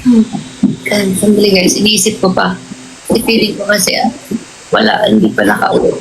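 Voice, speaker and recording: A woman's voice: mid-range voice, big pitch swings, a little fast, noisy background. A woman with a Filipino accent chatting casually as co-host of a live stream, mixing Tagalog and English.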